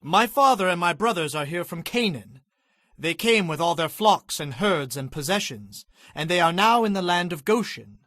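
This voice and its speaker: A man playing an assured, practical Hebrew governor in a dramatized scripture reading, with a measured, instructive manner. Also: high-pitched, animated, a bit bright, dry recording, clean studio sound. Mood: respectful.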